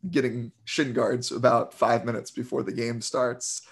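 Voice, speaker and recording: A man's voice: medium pitch, little pitch movement, measured pace, vocal fry, husky. A man in his thirties hosting an interview, friendly and self-deprecating, trading jokes with his guest. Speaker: podcast host. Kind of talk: casual interview chat.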